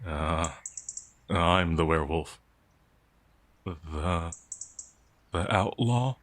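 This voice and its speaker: Timid werewolf outlaw, male performer: low register, unhurried, flustered and hesitant, soft-natured, fantasy audio roleplay. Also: no room sound, exaggerated voice. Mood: nervous, flustered. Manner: hesitant, stammering, apologetic, flustered. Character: gentle, timid, anxious male werewolf outlaw, easily frightened.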